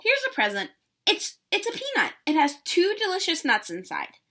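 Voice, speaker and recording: Woman voicing a high, chirpy storybook bird. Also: huge pitch swings, normal speed, pushed and bright, full character voice. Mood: eager. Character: eager, chirpy bird friend in a picture book.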